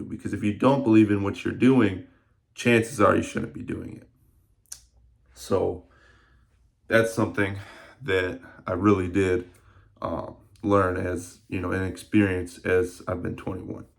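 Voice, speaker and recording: A man's voice: deep voice, unhurried, vocal fry. A man in his early twenties talking casually to camera in a rambling, reflective vlog with many fillers. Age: 20s. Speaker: fitness vlogger.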